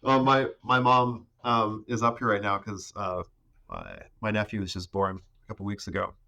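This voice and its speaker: A man in his thirties, a podcast guest, talking casually about growing up in the rainforest, with many pauses and restarts. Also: lowish voice, animated, gravelly, sharp tone. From Ecuador. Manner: hesitant, many fillers and restarts. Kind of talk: casual conversation.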